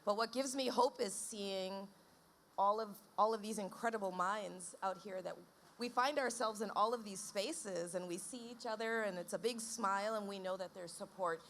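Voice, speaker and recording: A woman's voice: medium pitch, normal speed, fairly bright tone, slight background noise. Thoughtful woman panelist. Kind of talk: panel discussion.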